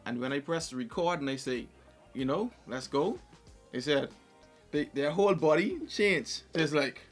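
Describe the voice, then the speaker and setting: A man with a light, high voice, hosting a podcast in casual, animated chat, who laughs often and sometimes stammers. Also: a little slow, soft background music. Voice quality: light, high voice, not deep. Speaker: podcast host.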